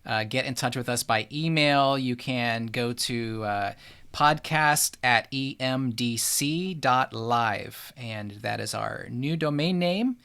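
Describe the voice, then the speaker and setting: A man's voice: medium pitch, slow, vocal fry, pushed and bright, clear, resonant tone. An American man hosts a podcast in an informal, chatty, conversational way. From United States. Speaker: podcast host.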